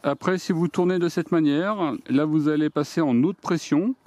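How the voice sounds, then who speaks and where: DIY host, man, slightly high voice, plain practical step-by-step delivery, recorded outdoors on camera mic. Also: moderate pace, mellow, no echo. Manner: plain step-by-step explaining, addresses viewers directly. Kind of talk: hands-on product demonstration. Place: outdoors on a garden deck.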